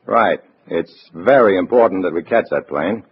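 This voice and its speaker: An actor playing a wary undercover agent in a 1950s radio drama, with an American accent and a measured, clipped, hard-boiled delivery. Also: male voice, low voice, lively intonation, slight background noise. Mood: brisk, guarded. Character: wary male undercover agent posing as loyal party comrade. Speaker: narrator and lead actor. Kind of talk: 1950s radio drama acting, first-person narration. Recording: muffled vintage radio recording with music.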